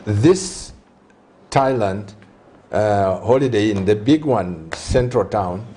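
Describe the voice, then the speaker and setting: Man, interview host, slow deliberate phrasing, mellow tone, conversational. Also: lowish voice. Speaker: host, church leader.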